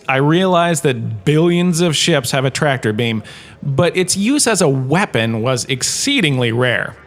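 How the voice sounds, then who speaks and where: A man playing a smug washed-up star who lectures as a history professor, conversational and wry, in a comedic audio drama. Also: on the high side. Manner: conversational, self-satisfied, wry asides.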